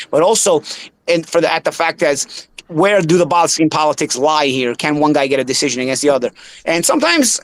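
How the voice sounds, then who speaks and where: Fast-talking Brooklyn man, Bensonhurst accent. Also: a little high. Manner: animated, piles up quick restarts and repeated words. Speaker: host, former boxer. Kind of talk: casual boxing talk.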